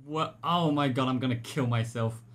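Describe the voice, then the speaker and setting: A man in his twenties giving casual reaction commentary indoors, excitable and prone to swearing. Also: medium pitch, normal speed, music in the background. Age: 20s. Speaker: reaction video creator. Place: small bedroom, indoors.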